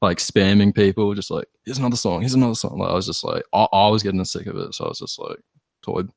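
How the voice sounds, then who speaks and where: A man's voice: low-pitched, very animated, fast, creaky, no room sound, studio quality. A man with an Australian accent chatting casually, hesitant, self-deprecating and sweary. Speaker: band musician, podcast guest. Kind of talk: casual chat.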